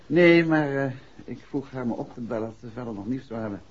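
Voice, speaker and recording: Actor as expressive, shrewd gentleman detective. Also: medium pitch, brisk, close to an everyday voice. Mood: thoughtful, brisk. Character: shrewd, urbane male amateur detective, authoritative and affectionate. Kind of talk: 1960s Dutch radio drama acting. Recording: dull, faintly noisy 1960s radio recording.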